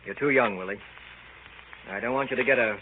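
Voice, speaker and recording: An actor playing a stern, middle-aged father in 1930s radio drama, lecturing and gruffly dismissive. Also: medium pitch, fairly quick, close to an everyday voice. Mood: stern refusal. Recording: tinny, hissy old 1930s radio recording.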